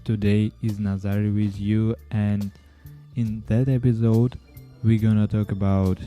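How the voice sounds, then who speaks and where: Slow, mellow Ukrainian-accented man. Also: fairly low pitch, level tone, soft background music. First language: Ukrainian and Russian. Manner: halting, many pauses and restarts, non-native grammar. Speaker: podcast host. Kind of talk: casual solo podcast talk.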